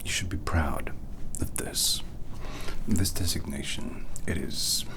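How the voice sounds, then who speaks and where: Man whispering as a slow, solemn vampire doctor. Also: deep voice. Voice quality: breathy whisper. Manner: slow, ceremonial, hushed. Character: solemn, possessive vampire doctor, reverent and coldly tender. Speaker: ASMR roleplayer. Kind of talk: whispered ASMR roleplay.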